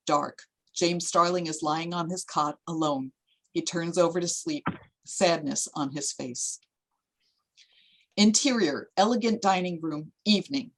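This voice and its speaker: A woman narrates the stage directions of a screenplay. Her voice is fairly low and raspy, and her delivery is measured and descriptive. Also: low-quality recording.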